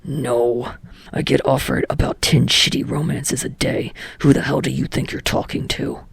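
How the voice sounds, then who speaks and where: A woman voicing an irritable, guarded young man in an audiobook story, with a low raspy growl and a terse, grumbling manner. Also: fairly even intonation, moderate pace, dry recording, exaggerated voice. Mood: defensive. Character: gruff, irritable young male actor, foul-mouthed, guarded.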